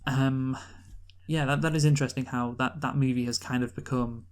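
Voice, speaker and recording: A man's voice: medium pitch, steady tone, normal speed. Opinionated man chatting about films. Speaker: podcast co-host reviewing films. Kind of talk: casual unscripted film discussion.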